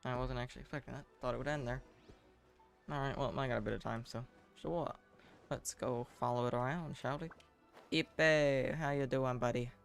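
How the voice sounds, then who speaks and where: A woman streamer giving casual live gaming commentary in a deadpan, sarcastic way. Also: extremely low pitch, moderate pace, creaky, faint music behind. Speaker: game streamer.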